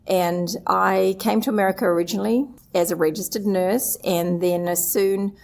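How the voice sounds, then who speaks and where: A woman's voice: mid-range voice, steady tone, moderate pace, gravelly, darkish tone. A middle-aged woman from New Zealand with a New Zealand accent, earnestly listing her experience as a guest in a live conference interview. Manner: earnest, lists points one after another. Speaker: guest, association executive director.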